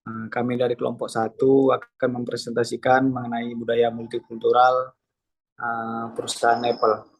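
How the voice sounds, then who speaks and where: Young guy from medan hosting a class presentation, super flat monotone, lots of "eh", reads off the slides. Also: mid-range voice, normal speed. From Medan, Indonesia. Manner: formal, filler-heavy, reads slides in an even voice. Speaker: student moderator.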